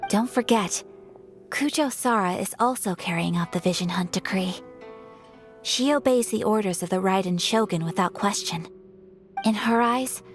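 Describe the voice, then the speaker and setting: A young noblewoman played by a woman speaks in a middle-pitched voice, formal, measured and cautious. The performance is anime-style video game acting over faint music. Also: natural voice.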